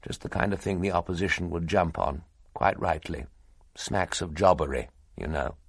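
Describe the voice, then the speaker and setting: A man's voice: low voice, moderate pace, slightly rough, dry recording, studio quality. A man voicing a curt, decisive older politician who speaks in a clipped, final way. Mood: firm.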